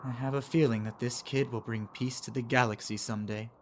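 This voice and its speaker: A man voicing a confident alien soldier and aide in an animation, speaking in a measured, earnest way. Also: mid-range voice, fairly even intonation, lightly acted. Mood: gentle, solemn. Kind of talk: amateur animation voice acting.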